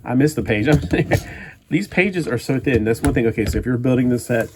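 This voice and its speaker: A man's voice: mid-range voice, expressive, normal speed, darkish tone, slight background noise. A man chatting at home as he builds a toy kit live, meandering and thinking aloud with frequent restarts. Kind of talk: rambling live-stream chat while building.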